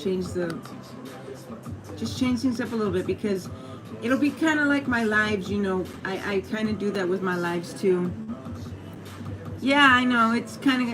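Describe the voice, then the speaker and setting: A woman with a Mexican American accent, chatting in a meandering way on a casual livestream, with lots of fillers and restarts and greetings to viewers. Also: medium pitch. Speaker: YouTube live streamer. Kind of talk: casual rambling livestream chat. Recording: noisy livestream audio.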